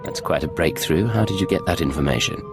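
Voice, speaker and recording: A man's voice: low-pitched, quick pace, music in the background. A man playing a cool, terse secret agent with a reserved, clipped manner in a video game. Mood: cool, guarded.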